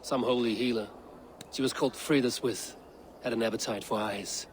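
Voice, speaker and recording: Clear background noise sits behind an actor as a stern Viking warrior. He has a mid-pitched male voice and speaks gravely and resolutely, in short game-drama lines. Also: fairly even intonation, moderate pace, natural voice. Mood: businesslike. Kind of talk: dramatic video game acting.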